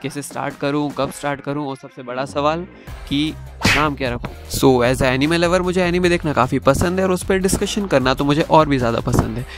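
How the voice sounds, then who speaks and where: A man's voice: a little high, fairly quick. A man narrating a review as a YouTuber, conversational, mixing in English phrases. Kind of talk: review narration with plot recap. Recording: music under the voice. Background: background music.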